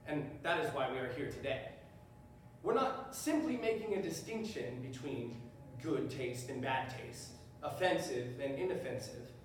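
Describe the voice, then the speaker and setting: A man's voice: medium pitch, lively intonation, close to an everyday voice. A man playing an earnest defense attorney in a stage play, making an oratorical, persuasive speech. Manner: oratorical, deliberate emphasis and repetition. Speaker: stage actor. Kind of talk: readers theater courtroom speech. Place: large echoey room, live stage performance. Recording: far off, very echoey hall, faint noise.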